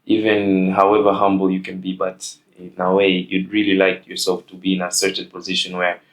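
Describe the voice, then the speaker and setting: Young guy with a really low voice, hosting a chat, lots of ums and uhs. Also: completely flat delivery, brisk, rough recording. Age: young adult. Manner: hesitant, with many fillers. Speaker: host. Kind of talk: casual interview.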